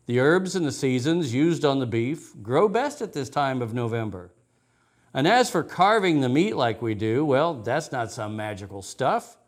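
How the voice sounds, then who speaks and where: An ancient town matriarch read by a man from a rhyming children's storybook. She is amused and matter-of-fact, explaining things plainly with a lively, moving pitch. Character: laughing, matter-of-fact hundred-and-three-year-old town matriarch.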